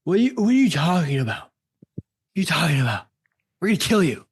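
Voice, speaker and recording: A man's voice: on the high side, sing-song, quick pace, breathy edge, pitched above the performer's own voice, heavily acted. A man playing a threatening, agitated male bully in an improvised radio play, barking urgent, repeated demands. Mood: aggressive disbelief.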